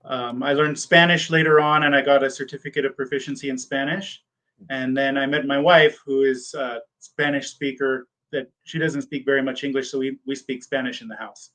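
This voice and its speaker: A man's voice: medium pitch, little pitch movement, moderate pace, pushed and bright, poor audio. Hesitant man answering interview questions. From born in Germany, raised in the United States. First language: German. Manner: hesitant, many ums and repeated words. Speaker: PA school applicant.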